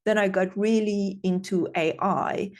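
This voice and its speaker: Gravelly-edged woman from Iran. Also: mid-range voice, fairly even intonation, unhurried. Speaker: guest, tech founder. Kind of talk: casual interview answers.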